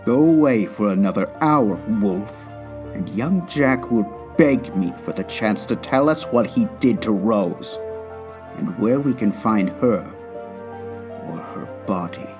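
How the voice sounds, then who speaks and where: Man acting a haughty low-voiced villain over music. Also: animated, slow pace. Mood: cold menace. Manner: haughty, threatening, formal. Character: cold, arrogant, murderous aristocratic swordsman villain. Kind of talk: comic dub acting.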